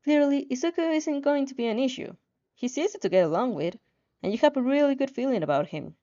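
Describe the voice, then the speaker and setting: A woman's voice: sits high, lively intonation, a little fast, warm tone. A woman narrating fan fiction expressively, with casual reactions, on a slightly dull, lo-fi recording.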